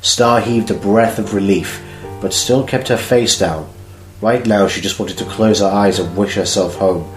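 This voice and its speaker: A man's voice: on the low side, a little slow, echoey room, rough recording. A man reading scripted story narration for an audio drama. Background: music.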